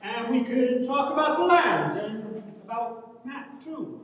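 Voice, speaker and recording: A man's voice: very high-pitched, animated, slow pace, fairly bright tone, full character voice. A man playing a kindly, anxious older countryman with a hesitant, roundabout manner in a stage reading. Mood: nervous, hedging. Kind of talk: dramatic stage reading of an Irish rural play. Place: large reverberant hall, recorded from afar. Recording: echoey hall, distant microphone.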